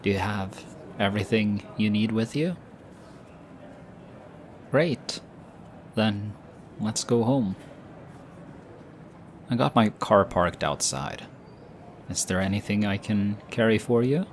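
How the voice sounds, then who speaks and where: A young man voicing an affectionate, teasing boyfriend in an audio roleplay, speaking softly and hushed. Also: fairly low pitch, takes it slowly, pauses often. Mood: tender, teasing. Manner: soft, hushed, teasing with light laughs. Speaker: voice actor. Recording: steady ambient background noise. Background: busy airport ambience.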